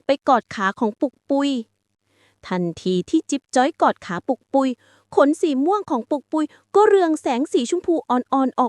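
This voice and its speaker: Woman telling a bedtime story, sing-song and high, dry close sound. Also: a little mellow, no echo.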